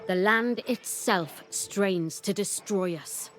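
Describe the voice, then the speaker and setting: Woman military captain, skeptical, formal, dry delivery, mid pitch, video game drama. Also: slow, a bit bright, faint music behind. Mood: grave, worried.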